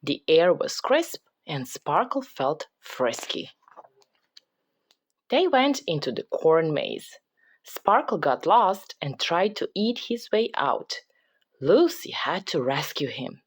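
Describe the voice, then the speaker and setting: A woman narrates a picture book for children. She takes her time, with very animated intonation and a dramatic touch. The sound is close and dry. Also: low voice, slow pace, husky, dry recording. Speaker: storybook reader. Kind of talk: expressive children's read-aloud narration.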